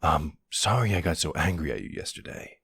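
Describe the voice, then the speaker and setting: A man playing a gentle, worried boyfriend in an intimate roleplay audio drama, soothing and reassuring with a hesitant delivery. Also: expressive, brisk, no echo, studio quality, full character voice. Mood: caring, reassuring. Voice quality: low, husky voice.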